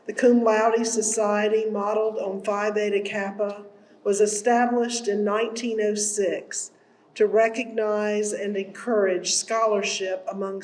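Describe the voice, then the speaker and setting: Slow, measured woman giving a formal speech. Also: mid-range voice, a little hiss or hum, low-quality recording. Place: school awards ceremony hall.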